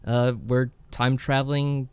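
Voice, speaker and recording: A man's voice: mid-range voice, level tone, moderate pace, a little mellow, clean tone, dry recording. A man co-hosting a podcast, casually recapping a game in a wry, tongue-in-cheek way. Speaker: podcast co-host.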